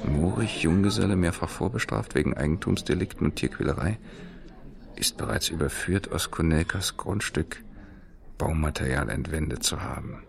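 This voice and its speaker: A man's voice: rumbling low, moderate pace, creaky, a crowd in the background. A man in a radio drama reading out a newspaper report in a matter-of-fact, official manner. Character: male newspaper text read out, matter-of-fact.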